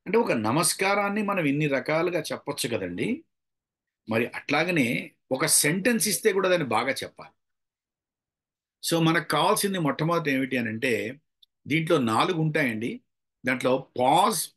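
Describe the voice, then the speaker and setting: A middle-aged man with a Telugu accent speaks as a public speaking trainer in a somewhat high voice. He lectures conversationally, poses rhetorical questions to his listeners and slips English phrases into his talk. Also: expressive, unhurried, long pauses, a bit bright. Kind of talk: informal lecture with acted examples.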